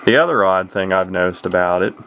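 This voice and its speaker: A man's voice: sits low, brisk, a bit bright, slight background noise. A man giving a casual, unscripted product walkthrough in a rambling, matter-of-fact way, as a hobbyist talking near his camera. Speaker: radio collector giving a product demonstration.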